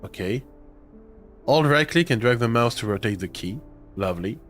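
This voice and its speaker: A young man with a cold, his throat rough and nose stuffed, chatting on a live stream in a self-mocking, loosely profane way. His pitch swings freely up and down from a mid-range base as he reacts and rambles. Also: lively intonation, moderate pace, fairly bright tone, faint music behind. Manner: chatty, swearing, self-mocking, with filler sounds. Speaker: streamer playing a video game. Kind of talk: casual live-stream chat.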